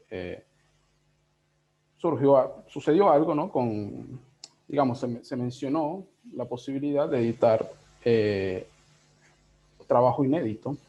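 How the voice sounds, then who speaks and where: A man in his thirties with a Venezuelan accent, talking casually and reflectively as an interviewed editor, in a halting, digressive way. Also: medium pitch, warm tone. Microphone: video call. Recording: dull, lo-fi video call audio.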